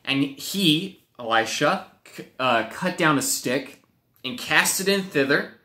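A young man, a youth pastor, teaching a Bible lesson to children with animated storytelling and playful acted-out voices, speaking to a webcam in a home office. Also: on the high side, takes it slowly, roomy sound. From Wisconsin, USA. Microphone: webcam at desk.

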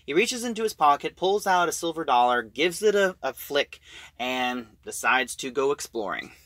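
A man giving a casual, halting recap of a story as a reviewer, in an informal way. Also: fairly high pitch, big pitch swings, a bit bright.

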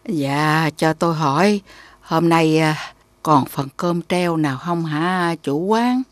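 Needy old cartoon granny, meek and halting, slow, lowish voice, dry sound. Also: female voice, no echo. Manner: hesitant, halting, pleading. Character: poor, meek, sad elderly woman in need. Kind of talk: children's cartoon voice acting.

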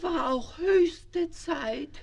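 A man's voice: very high voice, extremely slow, vocal fry, a crowd in the background, heavily acted. A man voicing a small childish dinosaur who plays an imperious, whiny king in a children's radio play. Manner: bossy, petulant.

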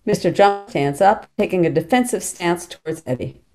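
Middle-aged female narrator for a script read, lowish and soft-toned. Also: brisk, slight background noise. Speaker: narrator reading stage directions. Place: small plain room at home, on video call.

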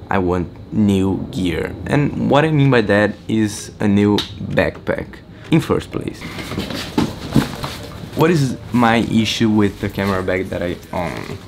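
A teenage boy from Brazil, Portuguese native, chatting excitedly in a casual vlog update from his small bedroom, rambling and restarting often. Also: lowish voice, gravelly, noisy background. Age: teens. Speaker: vlogger. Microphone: camera-mounted shotgun mic.

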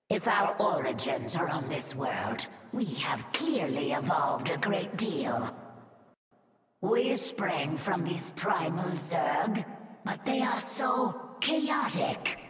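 A woman's voice: low-pitched, sing-song, takes it slowly, heavily acted. A woman playing a proud, ambitious alien swarm broodmother in a video game, speaking formally and deferentially to her queen. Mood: brooding, proud. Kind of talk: video game dramatic voice acting. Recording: echoing voice under loud game music.

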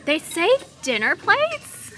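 Female vlog host from Hong Kong, very expressive pitch, chatty, clear store noise behind her. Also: takes it slowly. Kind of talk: casual shopping chat. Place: large retail store. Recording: busy store background noise.

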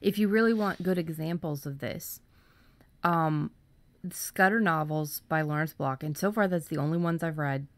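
Woman, deep-pitched voice, dry room sound, casual podcast co-host, conversational. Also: normal speed, no room sound.

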